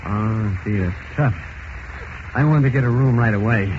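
An actor playing a keen, determined FBI agent in 1940s radio style, clipped and plain-spoken. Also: male voice, on the low side, normal speed, close to an everyday voice. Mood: folksy, casual. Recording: muffled noisy vintage radio recording.

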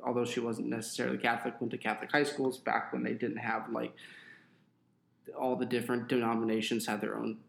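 A man's voice: medium pitch, flat delivery, fairly quick, gravelly, roomy sound, low-quality recording. A young adult man co-hosting a podcast, chatting casually and rambling a little with fillers. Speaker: podcast co-host.

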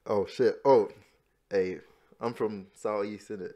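Young man, podcast co-host, casual chat, stammering, repeats words, fairly low voice. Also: steady tone, unhurried, mellow. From born in Nigeria, raised in America and Nigeria.

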